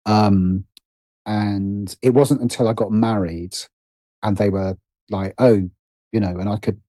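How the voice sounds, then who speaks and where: British man, middle-aged, low mellow voice, hesitant, self-deprecating, casual interview chat. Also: fairly even intonation, measured pace, dry recording. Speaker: podcast guest, writer and producer.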